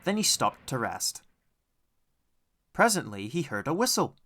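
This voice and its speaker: A man's voice: medium pitch, very animated, a little slow, sharp tone. A man reading scripted children's storybook narration as an audiobook narrator.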